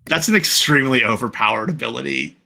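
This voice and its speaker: A man in a casual podcast chat, talking in an animated way and thinking aloud with questions. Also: medium pitch, fast, gravelly. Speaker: podcast co-host.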